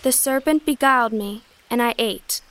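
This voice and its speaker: A woman's voice: fairly high pitch, moderate pace, fairly bright tone, a little hiss or hum, close to an everyday voice. A woman playing Eve, an innocent first woman, delivering earnest lines in a Bible audio drama. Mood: pleading.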